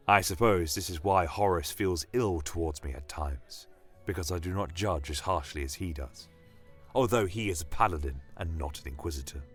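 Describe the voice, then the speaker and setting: A man narrating a scripted first-person fantasy tale in a measured, solemn, dramatic manner. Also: deep voice, a bit bright. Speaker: storyteller.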